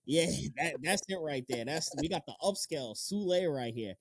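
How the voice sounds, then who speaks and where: A man's voice: fairly high pitch, animated, moderate pace, no room sound. A man hosts a casual interview, talking in loose slang with frequent swearing and repeating words for emphasis. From Long Island, United States. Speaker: podcast host.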